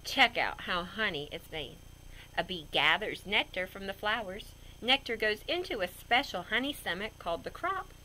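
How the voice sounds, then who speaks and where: A woman reading a children's picture book aloud in a goofy, expressive way with chatty asides. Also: medium pitch, normal speed, slight background noise, rough recording. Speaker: storybook reader.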